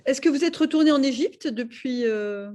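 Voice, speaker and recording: A woman interviewing an author, supportive and quick to agree. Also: high voice, heavy background noise. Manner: supportive, frequent affirmations. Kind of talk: radio interview.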